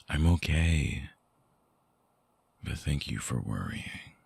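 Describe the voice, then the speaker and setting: A man playing a brooding, vulnerable vampire ex-lover in an intimate ASMR audio drama, hushed and halting, with a breathy, husky, gravelly voice close to the mic. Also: extremely low pitch, dry recording, full character voice. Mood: tender, vulnerable. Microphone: close binaural microphone, dry.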